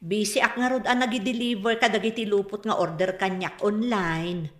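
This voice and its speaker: A woman's voice: medium pitch, steady tone, normal speed, roomy sound, natural voice. A woman with a Filipino accent playing a defensive, spendthrift mother who argues dramatically in a comedy radio drama. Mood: bossy, excuse-making. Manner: argumentative, dramatic.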